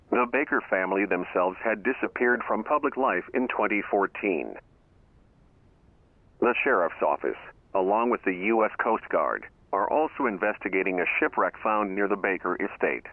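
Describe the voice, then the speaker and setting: Male news reporter, scripted delivery, lowish voice, dry phone-like radio sound. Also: dry recording.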